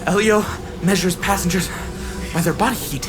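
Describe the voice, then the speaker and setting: A man's voice: high voice, normal speed, music in the background, lightly acted. An actor playing an anxious, earnest young man turned amateur detective in an audio drama, urgent and hesitant. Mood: earnest, insistent.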